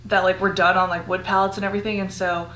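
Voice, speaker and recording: A woman's voice: medium pitch, level tone, fast, a bit bright. Young woman answering with easy fillers. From Costa Rica. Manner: easygoing, many fillers and likes. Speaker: graduate student. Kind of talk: casual interview answers. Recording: echoey room with faint hum.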